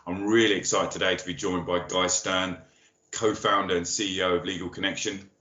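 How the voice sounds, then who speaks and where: A man hosting a business podcast, introducing his guest and asking questions, quick and friendly with hesitant fillers. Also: low voice, little pitch movement, bright, forceful tone, roomy sound, muffled recording. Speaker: podcast host. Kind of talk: podcast interview.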